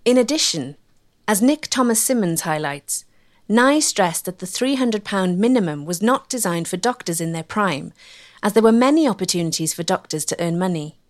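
A woman giving measured, scripted narration for a history documentary. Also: mid-range voice, expressive. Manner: measured, articulate.

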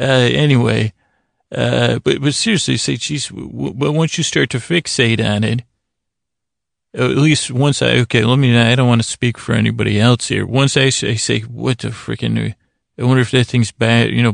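A man hosting a bedtime podcast in a halting, meandering improvised monologue, whimsical and apologetic, with a gravelly creak in his voice. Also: mid-range voice, fairly even intonation, no room sound, studio quality. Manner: meandering, halting, trails off into tangents and self-corrections. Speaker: podcast host.

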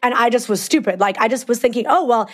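A Canadian woman with a raspy voice and a Canadian accent, telling candid stories as a podcast guest, blunt and swearing freely. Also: a little high, fast talker. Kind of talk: candid storytelling.

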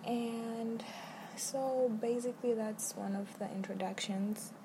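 A woman's voice: a little high, fairly even intonation, slow, heavy background noise. A young woman hosting her own podcast, talking earnestly and encouragingly and repeating her key phrases. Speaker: podcast host. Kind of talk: solo motivational podcast talk.

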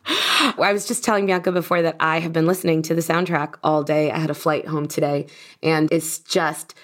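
A woman hosting a podcast in an easy, friendly chat. Her voice sits slightly low. She sounds enthusiastic and a little gushing as she leads the questions. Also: fairly even intonation, normal speed, fairly bright tone. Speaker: podcast host. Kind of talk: casual interview chat.

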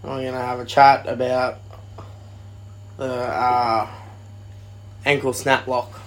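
A man's voice: medium pitch, flat delivery, slow pace, slight background noise. An Australian man co-hosting a casual live podcast, swearing and joking around, a little tipsy. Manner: swears a lot, joking banter. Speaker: podcast co-host.

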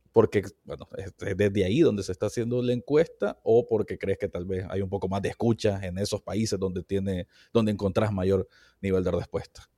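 Podcast co-host guy, low mellow voice, dry close sound, lays out his question neat. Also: no echo.

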